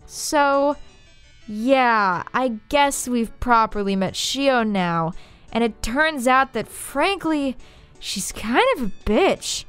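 Young adult woman, fairly high voice, scripted recap narration, sardonic, casual, sweary, faint music. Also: clear, resonant tone. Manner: sardonic, informal, profane. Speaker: video essayist, narrator.

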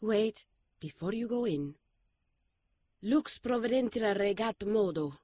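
An actress playing a solemn, stern, mystical woman in a radio drama, speaking in a formal, measured way. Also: mid-range voice, lively intonation, warm tone, a little hiss or hum. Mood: earnest. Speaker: radio drama actor and narrator. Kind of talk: radio drama narration and dialogue.

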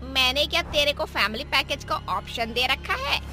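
A cruel female witch cackles and taunts her victims, as a woman acts her out. Her voice sits high and sharp over a loud musical bed, in a cartoon horror story. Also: lively intonation, normal speed, music in the background. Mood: mocking. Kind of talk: Hindi cartoon voice acting.

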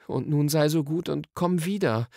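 A man's voice: fairly high pitch, moderate pace, creaky, darkish tone, no echo, clean studio sound, pitched above the performer's own voice. A man voicing an anxious, stubborn young boy in a storybook, pleading and insistent. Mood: grudging, pleading. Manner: urgent, insistent.

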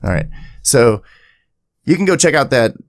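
A man giving a casual software tutorial in a conversational way, with chatty asides, as the creator of the video. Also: mid-range voice, very animated, normal speed, no echo, clean studio sound. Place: indoors at a home PC, voice-over to screen capture.

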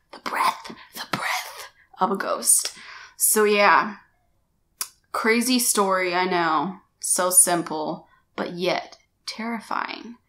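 A woman's voice: on the low side, fairly bright tone. A young American woman in her twenties telling a story to camera in a small room, chatty and rambling, often correcting herself. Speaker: vlogger. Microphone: camera-mounted shotgun mic.

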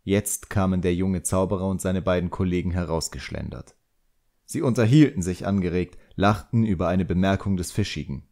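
Male audiobook narrator, low register, for scripted fantasy storytelling. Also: moderate pace, warm tone.

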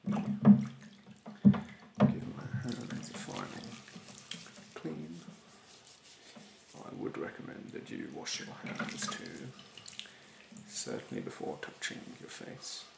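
A man in his twenties doing an ASMR roleplay as a rude beautician, speaking softly and breathily, often near a whisper, in a haughty, condescending way. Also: deep voice, wildly swooping pitch, slow, gravelly, pauses often, echoey room, background noise. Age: 20s. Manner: haughty, condescending, politely insulting. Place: small bedroom, indoors.

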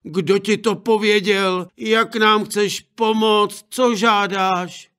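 A man's voice: high-pitched, steady tone, fairly bright tone, clean tone, voice pushed up. A man voicing a pair of grieving old parents in a fairy tale, anguished and lamenting. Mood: shocked. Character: grieving elderly parents speaking together.

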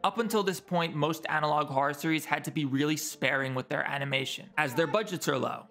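A man delivering scripted video essay narration in an articulate, explanatory manner. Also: fairly high pitch. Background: background music.

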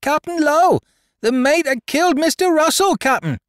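Impish cabin boy, shrill, piercing, pitched far up, dry close sound, seafaring audiobook. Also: expressive, normal speed, no room sound. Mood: shrill alarm. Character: shrill, devilish young cabin boy raising the alarm. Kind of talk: audiobook character voice in a sea adventure.

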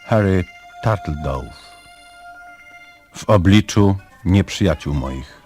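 A man's voice: low voice, lively intonation, slow. A man announcing a radio drama title in a scripted style. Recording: music behind the voice. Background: music.

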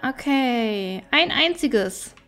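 A woman's voice: fairly high pitch, lively intonation, extremely slow, a bit bright, soft background music. A woman giving casual, chatty gameplay commentary, thinking aloud as she plays. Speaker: let's player.

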